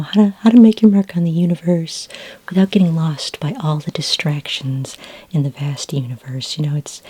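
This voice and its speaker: A woman with a low, mellow voice speaks very softly, close to a whisper, with plenty of breath in it. She plays a friendly, patient sci-fi librarian in an ASMR roleplay, guiding the listener gently and without hurry. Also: husky. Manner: gentle, unhurried, helpful customer-service patter. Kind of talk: soft-spoken ASMR roleplay. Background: computer keyboard typing.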